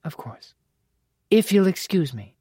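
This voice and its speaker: A sly, polished courtesan from a storybook, as an audiobook narrator puts her on. She is a woman of cool courtesy, formal and guarded, pitched clearly above the reader's usual voice. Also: big pitch swings, a little slow, mellow, no echo, studio quality, exaggerated voice. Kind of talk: audiobook character dialogue.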